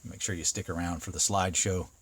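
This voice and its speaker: A man's voice: low-pitched, level tone, quick pace, a bit bright, husky. A man giving a casual, unscripted product review as a video host, talking in an easygoing, meandering, hesitant way.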